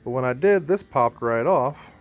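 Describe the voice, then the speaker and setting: A man with clear ambient noise behind him talks through a hands-on gear job. His pitch is mid-range and his delivery is informal, as if thinking aloud while he works. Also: expressive, moderate pace. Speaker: band member showing a PA rack rebuild. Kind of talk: casual hands-on vlog narration.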